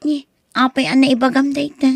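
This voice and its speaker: A woman's voice: high-pitched, little pitch movement, normal speed, creaky, breathy edge, no echo, heavily acted. A woman playing a meek, dutiful young college student and daughter in an Ilocano drama. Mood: friendly, coy. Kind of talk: Ilocano radio-style drama acting.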